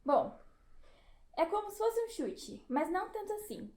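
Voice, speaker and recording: A woman plays an upbeat science guide in an educational audio drama. Her voice is high and theatrical, explaining step by step in a roomy, echoey space. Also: sing-song, a little slow, a little mellow, full character voice. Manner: didactic, theatrical.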